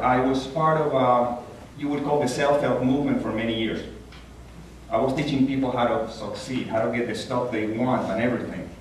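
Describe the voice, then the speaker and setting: Puerto Rican man speaking in an echoey church. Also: slight background noise. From Puerto Rico. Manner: earnest, animated, rises in pitch when stressing a point. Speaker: guest speaker. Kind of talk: inspirational talk at a church. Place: large church hall. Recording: echoey hall, taken from far off.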